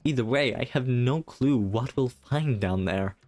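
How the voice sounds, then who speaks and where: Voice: mid-range voice, unhurried, a little mellow, soft background music, natural voice. A streamer reading a hesitant, nervous guardian. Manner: hesitant, apologetic. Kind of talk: game dialogue read aloud.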